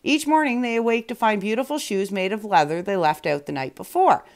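A woman hosting a storytime, narrating from a storybook in a measured, clearly phrased way. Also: medium pitch, darkish tone. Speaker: storytime host and reader.

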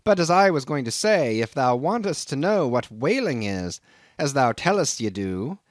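A man voicing a gruff, suspicious old whaling captain who blusters in archaic thee-and-thou speech. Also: medium pitch, big pitch swings, moderate pace, no echo, studio quality, natural voice. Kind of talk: audiobook character voice.

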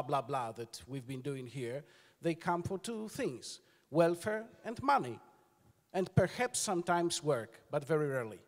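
Blunt dismissive man in a big hall. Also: a little high. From Poland. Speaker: panelist. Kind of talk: blunt panel debate. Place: large concert hall stage. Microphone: handheld mic. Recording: reverberant concert hall.

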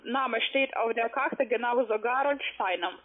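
Woman in halting broken German on a phone line. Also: a little high. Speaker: phone scam caller. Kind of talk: phone call.

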